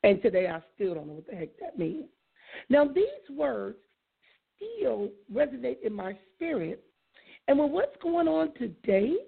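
A hoarse woman hosts her own call-in radio show, talking through a reflective solo monologue. Her fairly high voice is husky and gravelly with fry. The sound is narrow and lo-fi, like it is coming over a phone line. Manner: reflective, conversational, invites callers. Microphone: iPad.

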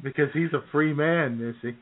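A man's voice: fairly high pitch, normal speed. A man hosting a radio show in casual, conversational chat. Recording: narrow tinny phone-like sound.

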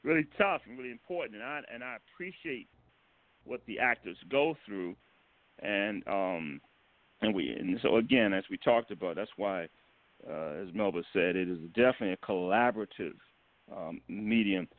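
A man giving casual, halting interview answers as a guest director, explaining things with chuckles along the way. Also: on the low side, expressive. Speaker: guest, stage and film director. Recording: narrow phone-line sound.

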